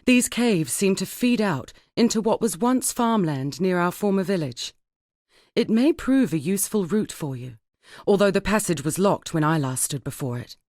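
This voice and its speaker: A woman playing an earnest, formal woman scholar in a dark fantasy video game, speaking in a measured way. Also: on the low side, animated, no echo, clean studio sound, close to an everyday voice. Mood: earnest, informative. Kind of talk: scripted dramatic game dialogue.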